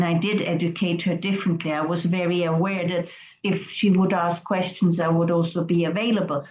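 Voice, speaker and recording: A woman's voice: medium pitch, darkish tone. Hesitant woman on a roomy, dull video call. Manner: hesitant, reflective, restarts words. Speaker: class participant.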